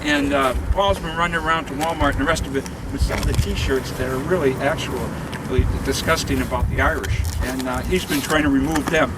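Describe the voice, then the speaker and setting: A man's voice: medium pitch, steady tone, brisk. A man making informal, rambling remarks to a crowd outdoors in the cold at a ceremony. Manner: rambling, many fillers and restarts. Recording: distant outdoor recording, heavy noise.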